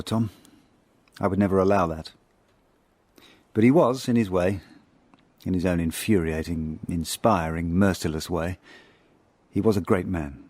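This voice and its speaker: A man acting a reflective middle-aged spy, narrating his past in a radio drama in a measured, wry, literary way. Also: low-pitched, darkish tone, no room sound. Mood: wry, wistful.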